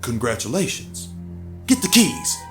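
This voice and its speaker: An anxious, exasperated captive in an audio drama. His urgent delivery leaps up and down in pitch, and loud music plays under him. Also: sing-song, measured pace.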